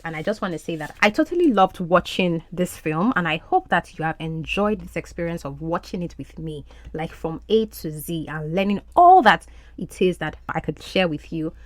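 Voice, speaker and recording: A woman whose first language is Yoruba, hosting a podcast as an English language coach and speaking in an animated, encouraging way. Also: lowish voice, dry recording. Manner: animated, encouraging teacher's delivery.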